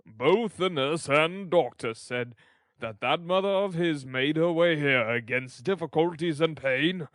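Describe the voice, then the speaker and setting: A man's voice: a little high, unhurried, a bit bright, dry recording, clean studio sound, pitched above the performer's own voice. A man voicing a pompous, stern parish beadle in a storybook reading, declaiming with self-important emphasis. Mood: pompous, grave.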